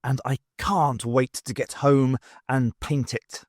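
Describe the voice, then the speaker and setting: A man's voice: medium pitch, steady tone, slow, dry recording, clean studio sound. A man voicing a fussy, blustering artist in an audiobook, rambling on in an airy, apologetic way. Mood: dreamy wonder.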